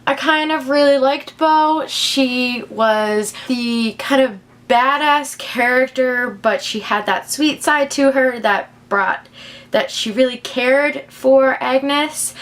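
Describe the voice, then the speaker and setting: A young woman with a somewhat high voice speaks as a book vlogger. She chats informally to her viewers in an enthusiastic, gushing way. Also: a bit bright. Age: young adult. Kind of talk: casual chat to camera.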